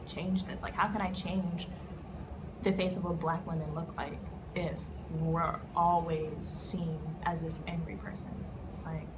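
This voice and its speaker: A woman speaking candidly in an interview, heartfelt and using "like" often. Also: lowish voice. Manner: heartfelt, leans on "like" as filler. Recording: noisy ambient background. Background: background noise.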